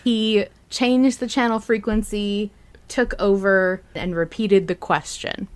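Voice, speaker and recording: A woman's voice: medium pitch, steady tone, slow, clean tone. A woman hosting a casual fan podcast, talking in a chatty, enthusiastic way. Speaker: podcast host.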